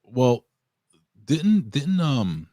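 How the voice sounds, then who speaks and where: Lively New York man hosting. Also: medium pitch, very slow, no echo, studio quality. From Puerto Rico. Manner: animated, excitable, swears freely. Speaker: show host. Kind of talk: casual wrestling review chat.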